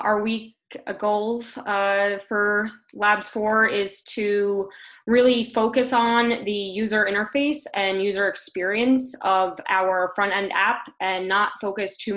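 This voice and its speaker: A young woman presenting a team update on a video call, earnest and often pausing mid-sentence. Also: mid-range voice, fairly bright tone. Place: room at home, indoors. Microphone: computer mic on a video call.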